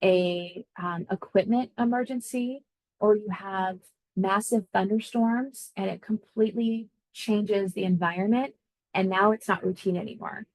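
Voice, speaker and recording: A woman's voice: mid-range voice, fairly even intonation, unhurried, dry recording, rough recording. A woman being interviewed as an air traffic controller, explaining her job conversationally and thinking aloud as she goes. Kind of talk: informal career interview answers.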